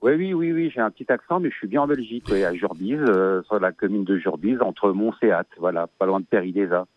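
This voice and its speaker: A man's voice: on the low side, brisk, a little hiss or hum. Casual farmer man on a phone line. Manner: relaxed, chatty, hesitant. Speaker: asparagus farmer.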